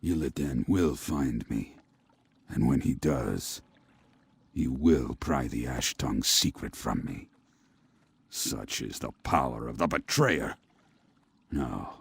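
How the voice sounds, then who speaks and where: Weary but resolute old alien seer, fantasy game. Very low, slow, heavily rasped, formal. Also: male voice, heavily acted. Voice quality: raspy, gravelly. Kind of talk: fantasy video game voice acting.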